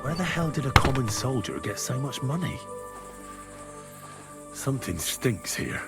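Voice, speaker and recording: An actor playing a young, common medieval soldier, frank and matter-of-fact, in a dramatic video game. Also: male voice, medium pitch, big pitch swings, unhurried, creaky, loud music behind the voice. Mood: musing.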